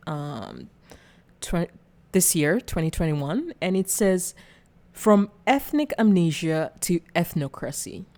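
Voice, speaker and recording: A woman's voice: on the low side, a little mellow. A woman from Rwanda hosting her own channel, talking through political commentary in a hesitant way with many fillers and small giggles. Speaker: channel host. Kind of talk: casual political commentary video.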